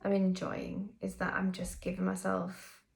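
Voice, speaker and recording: A woman from the UK talks through her own experience in a casual personal vlog, candid and chatty. She is a singer and vocal coach, and her middle-range voice carries a gravelly touch of vocal fry. She often stops to say um and correct herself mid-sentence. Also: medium pitch, completely flat delivery, unhurried. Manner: chatty, candid, frequent ums and self-corrections.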